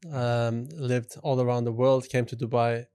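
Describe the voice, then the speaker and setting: A soft-spoken man from Sweden speaks as a guest on a podcast, telling his story at an easy pace. His voice sits in a medium range, and he often drops "you know" between his thoughts. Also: mid-range voice, monotone, moderate pace, clear, resonant tone. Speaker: guest, company CEO. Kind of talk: relaxed storytelling in an interview.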